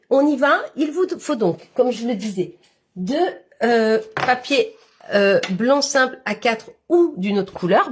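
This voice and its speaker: Slow, husky, nasal Frenchwoman with a cold. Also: medium pitch, a little mellow. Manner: halting, many fillers, step-by-step. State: head cold, congested. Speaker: papercraft instructor. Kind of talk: live-stream craft tutorial.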